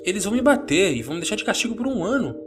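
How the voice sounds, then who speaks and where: A frightened little boy played by a man protests in a raised, high voice. He sounds timid and anxious, in a horror audio tale with faint music behind. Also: big pitch swings, rapid-fire. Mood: alarmed.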